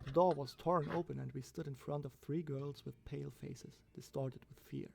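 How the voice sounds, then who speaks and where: A man reading a scripted first-person horror story as its narrator, with a gravelly voice. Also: medium pitch, fairly even intonation, normal speed, a little mellow, faint music behind.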